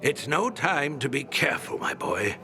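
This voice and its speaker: Fervent older flatterer, higher voice, very animated and theatrical, game character. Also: male voice, moderate pace, over music. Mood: fervent, flattering. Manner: theatrical, emphatic. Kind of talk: video game voice acting.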